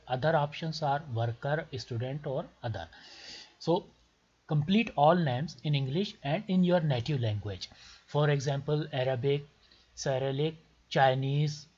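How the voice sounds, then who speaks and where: Male tutorial presenter, Pakistani accent, Urdu native. Slightly low, husky voice, deliberate step-by-step delivery. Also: darkish tone. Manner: deliberate, repetitive explaining with short phrases. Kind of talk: step-by-step instructional screen tutorial. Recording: muffled lo-fi voice recording.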